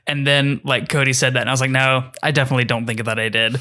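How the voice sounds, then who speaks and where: Male co-host, crisp bright timbre, mid-range, informal back-and-forth chat. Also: medium pitch, level tone, fast talker. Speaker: podcast co-host. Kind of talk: casual bantering podcast chat.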